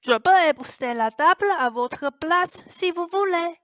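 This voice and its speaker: Woman as a high-voiced, put-upon Cinderella girl. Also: lively intonation, unhurried, fairly bright tone, heavily acted. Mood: earnest. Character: put-upon young Cinderella, meek yet exasperated servant girl. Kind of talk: student radio play acting. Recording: music behind the voice.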